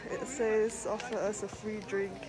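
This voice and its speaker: A woman's voice: mid-range voice, steady tone, measured pace. A young adult woman chatting casually to camera as she vlogs her trip. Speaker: travel vlogger. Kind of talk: casual vlog chat. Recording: loud music over the voice. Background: music.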